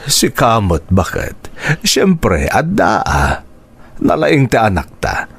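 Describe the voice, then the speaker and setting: A man playing a kindly, proud older father in a radio drama, warm and reassuring, with an Ilocano accent. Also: on the low side, huge pitch swings, slow pace, gravelly, slightly rough, exaggerated voice.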